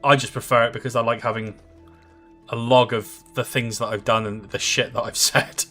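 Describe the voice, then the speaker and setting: Thirties male streamer, rambling and chatty, crisp bright tone, faint game music under him. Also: mid-range voice, flat delivery, normal speed. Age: 30s. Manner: rambling, chatty, filler-heavy, reads out and answers viewer comments. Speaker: livestreamer. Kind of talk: casual livestream chat while gaming.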